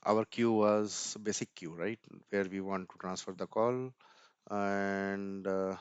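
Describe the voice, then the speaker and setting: A man's voice: low voice, fairly even intonation, takes it slowly, clean tone, no echo, muffled recording. A man giving an informal screen-share tech walkthrough, thinking aloud hesitantly and often repeating words. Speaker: tutorial presenter.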